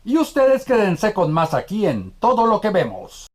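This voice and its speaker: A woman's voice: mid-range voice, wildly swooping pitch, normal speed, a little hiss or hum. A Colombian woman hosting a TV programme, asking questions in a formal, courteous, polished broadcast style. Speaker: TV host, interviewer.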